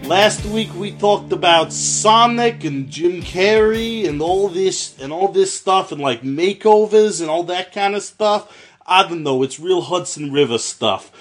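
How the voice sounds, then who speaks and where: A man's voice: sits high, expressive, unhurried, faint music behind. A man voicing a brash, rambling podcast host, with an exaggerated New Jersey accent that slides into Russian and mangled grammar. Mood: boisterous, welcoming. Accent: exaggerated New Jersey sliding into Russian. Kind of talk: comedic in-character podcast hosting.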